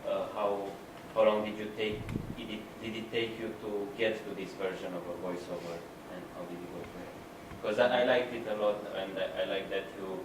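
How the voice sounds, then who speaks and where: Low-voiced man asking from afar in a hall. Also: flat delivery, moderate pace, few pauses. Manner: rambling, filler-heavy questioning. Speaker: audience member. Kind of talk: asking a question at a Q&A. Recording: echoey hall, distant, noisy.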